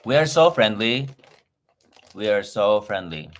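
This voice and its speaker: Chatty man, lowish voice. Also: expressive, unhurried. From Philippines. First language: Filipino. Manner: chatty and effusive, greeting viewers. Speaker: livestream host. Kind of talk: casual livestream chat with viewers. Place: home in Los Angeles.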